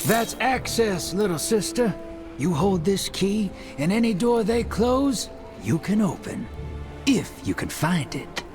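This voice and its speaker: A slow-drawling, folksy rogue for a video game. His voice sits high for a man and bends up and down freely. He is shrewd, confident and informal. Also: very animated, darkish tone, faint music behind, full character voice. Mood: confident, sly. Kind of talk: video game cutscene acting.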